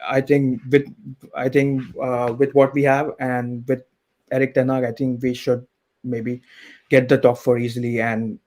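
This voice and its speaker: A man calling in to a talk show, talking in a measured way and thinking aloud. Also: mid-range voice, level tone, a little mellow. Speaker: caller. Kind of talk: casual call-in chat.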